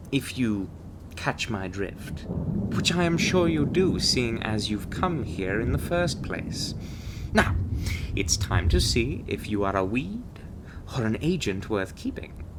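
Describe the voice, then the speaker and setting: The seasoned agent who interviews recruits behind a warded door in a monster-hunting audio drama, slow, theatrical and condescendingly suave, every sentence swooping, acted out by a man. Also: mid-range voice, background noise. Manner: theatrical, drawling, calls listener darling and doll. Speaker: voice actor in an audio roleplay. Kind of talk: scripted ASMR roleplay acting.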